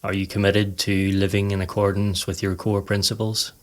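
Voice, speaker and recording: A man narrating a scripted guided meditation in a calm, measured, instructive way. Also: low-pitched. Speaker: podcast host and meditation guide.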